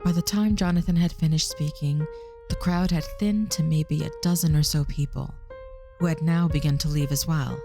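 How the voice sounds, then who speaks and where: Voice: mid-range voice, fairly even intonation, normal speed, warm tone, faint music behind. A narrator reading scripted storytelling for an audio drama.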